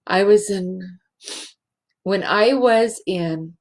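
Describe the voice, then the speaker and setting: A woman hosting a spiritual show, speaking in a halting, emotional way and close to tears. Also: medium pitch, a little mellow. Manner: slow, halting and emotional, many restarts. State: teary. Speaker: show host, healing facilitator. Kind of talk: heartfelt spiritual show monologue.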